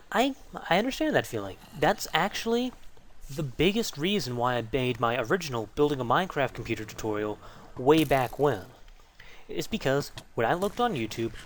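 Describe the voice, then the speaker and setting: Animated, rambling young man, noisy recording. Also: on the high side. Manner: self-deprecating, rambling with false starts. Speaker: tutorial video creator. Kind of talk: casual rambling update to viewers.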